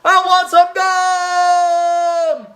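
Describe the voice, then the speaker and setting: A woman's voice: extremely high pitch, monotone, a bit bright, voice pushed up, full character voice. A woman voicing a whiny little girl who drags out her pleas for treats. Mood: shouting. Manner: pleading, drawn-out begging.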